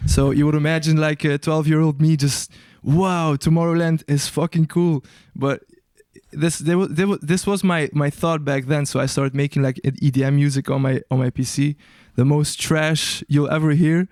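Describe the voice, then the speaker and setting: Smooth-voiced young man, hesitant and searching. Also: fairly high pitch, fairly even intonation, normal speed, a little mellow, no echo, clean studio sound. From Albania. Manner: hesitant, searching for words, many restarts. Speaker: guest musician. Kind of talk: casual interview answers.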